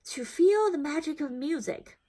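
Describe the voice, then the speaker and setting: Woman voicing a courteous, folksy man, high-pitched. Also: animated, measured pace, warm tone. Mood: dreamy. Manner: folksy, appreciative, polite. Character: courteous blind man on a bus.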